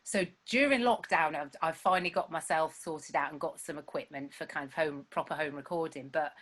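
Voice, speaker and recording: Chatty woman, low-pitched. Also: brisk, a bit bright. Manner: chatty, self-deprecating, rambling with fillers. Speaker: songwriter guest. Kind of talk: casual chat.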